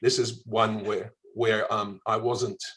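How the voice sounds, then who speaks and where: An Australian man with a mild Melbourne accent talks as a travel writer being interviewed. His voice is fairly low and noticeably husky, and he tells his stories in a conversational way. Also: little pitch movement, slow pace, fairly bright tone.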